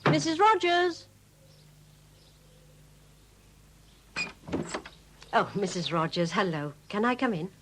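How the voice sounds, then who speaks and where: Expressive, kindly woman. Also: mid-range voice, normal speed. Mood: friendly, solicitous. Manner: polite, expressive. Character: kindly, inquisitive policeman's wife, polite and gently persistent. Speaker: detective's wife. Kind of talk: 1970s radio drama acting. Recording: dated, noisy 1970s radio recording.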